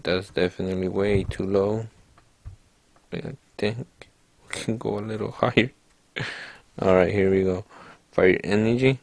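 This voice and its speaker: A man's voice: fairly low pitch, creaky, no echo. A man hosting his own channel, chatting casually to viewers as he opens card packs and reads out each card. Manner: relaxed, talks to viewers while reading out cards. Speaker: card-opening channel host. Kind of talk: casual pack-opening narration.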